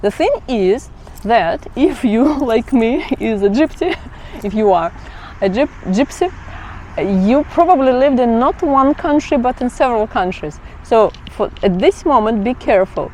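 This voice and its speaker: A woman's voice: a little high, expressive, unhurried, darkish tone, slight background noise, rough recording. A woman from Kazakhstan, chatting casually as a vlogger, often laughing and correcting herself. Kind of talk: casual explainer chat.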